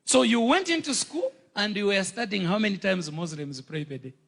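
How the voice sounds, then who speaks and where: Gravelly man mocking with rhetorical questions. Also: sits high, animated, normal speed, fairly bright tone. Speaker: speaker in a played video clip.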